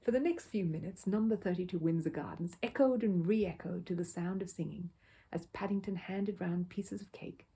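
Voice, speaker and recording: A woman's voice: lowish voice, moderate pace, dark tone, husky. A woman reading a children's story aloud as scripted narration. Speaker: storybook reader.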